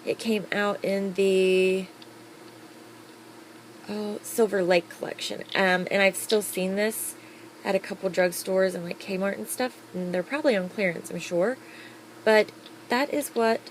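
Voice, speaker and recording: A woman talks casually into her camera at home, upbeat and chatty, drifting through fillers as she reviews products. Her voice sits at a middling pitch, and a faint hiss runs underneath. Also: unhurried, pauses often, poor audio. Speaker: beauty vlogger.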